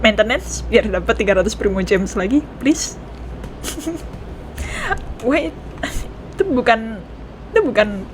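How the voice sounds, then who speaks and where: High-voiced, excitable Indonesian woman gamer. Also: unhurried, pauses often, background noise. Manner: excitable, repeats phrases. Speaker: game streamer. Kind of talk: casual reaction commentary over gameplay. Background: game music.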